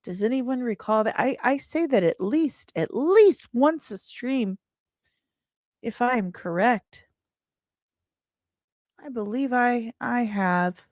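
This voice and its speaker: Slow-paced, high-voiced woman livestreamer. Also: lively intonation, a little mellow, no room sound, studio quality. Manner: playful, teasing, repeats phrases for emphasis. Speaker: livestream host. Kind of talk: casual livestream chat about entertainment news.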